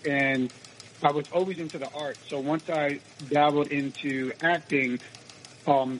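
A raspy-voiced young man from the United States, an actor answering interview questions in a casual, rambling way with many restarts. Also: a little high, a little hiss or hum, low-quality recording. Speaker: actor guest.

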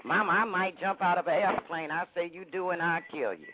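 An older woman with a big, deep, strong voice, telling casual stories in a feisty, sassy way on a call-in show. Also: a little fast. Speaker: show guest, comedian's mother. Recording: tinny phone-like line, clear noise, clicks.

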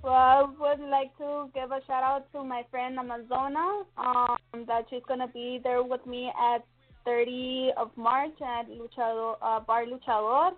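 Woman guest calling in, slightly high flat voice, tinny phone line. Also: a little slow. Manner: brief, friendly answers, switching to Spanish. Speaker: guest wrestler. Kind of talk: casual interview.

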